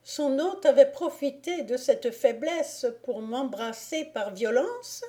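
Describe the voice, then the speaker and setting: A woman's voice: high-pitched, animated, unhurried, warm tone, voice pushed up. A woman voicing a coy married lady who plays innocent and wronged in an affected manner, in a storybook reading. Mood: feigned indignation.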